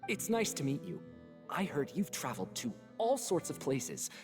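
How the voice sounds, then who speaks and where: A woman's voice: deep voice, sing-song, moderate pace, big-room sound, over music. A woman voicing a friendly, casual young opera fan in a video game, chatty and a little hesitant.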